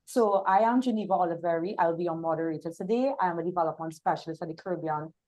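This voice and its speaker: A woman's voice: on the low side, fast talker, poor audio. Trinidadian woman moderating a panel. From Trinidad and Tobago. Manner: warm formal introductions with fillers. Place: outdoor hot venue, hybrid session.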